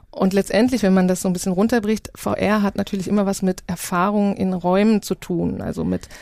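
Female interview guest, mid-pitched, dry studio sound, casual anecdotal delivery. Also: darkish tone, no room sound. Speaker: radio science editor, interview guest.

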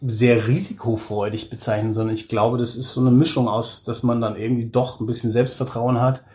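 A man's voice: mid-range voice, a little mellow. A man speaking casually and anecdotally as an interview guest, with a colloquial, self-deprecating way of talking. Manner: colloquial, self-deprecating, acts out quoted remarks. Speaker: interview guest, audio drama director.

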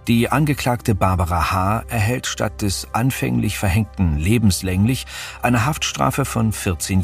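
Male true-crime co-host, low voice, measured scripted delivery, soft music bed. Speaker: podcast co-host.

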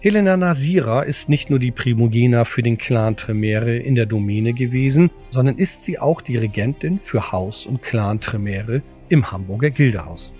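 A man's voice: mid-range voice, animated, moderate pace, few pauses, a little mellow. Solemn male narrator over music. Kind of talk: scripted audio drama narration.

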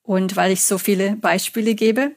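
A woman's voice: medium pitch, steady tone, normal speed. A German woman in her thirties, a psychology lecturer, answering an interview in a chatty way and telling stories with quoted examples.

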